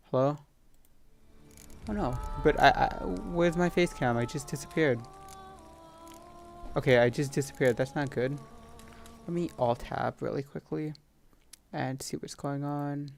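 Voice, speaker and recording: A man giving casual let's play commentary as a gaming video host, rambling and thinking out loud. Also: medium pitch, vocal fry.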